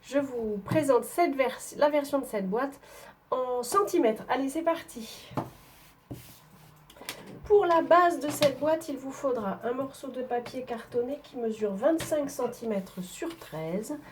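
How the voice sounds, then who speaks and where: A woman's voice: high-pitched, measured pace. A woman from northern France talks through a craft tutorial while she works at her table. She has a head cold, so her voice sounds stuffy, and her easygoing, chatty delivery is full of small hesitations. A faint background noise runs under the recording. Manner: chatty, explains while working, frequent fillers. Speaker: papercraft demonstrator.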